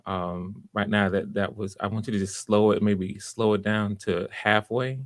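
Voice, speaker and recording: A man's voice: fairly low pitch, steady tone, normal speed. A man hosting auditions and giving encouraging, conversational feedback, often restarting his phrases. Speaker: audition host and director.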